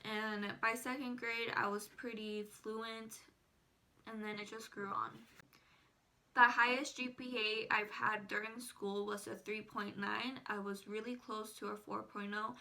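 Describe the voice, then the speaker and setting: A woman's voice: mid-range voice, level tone, unhurried, pushed and bright, low-quality recording. An eighteen-year-old woman, a native Spanish speaker, chatting casually to viewers as a vlogger. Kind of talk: casual personal chat to viewers.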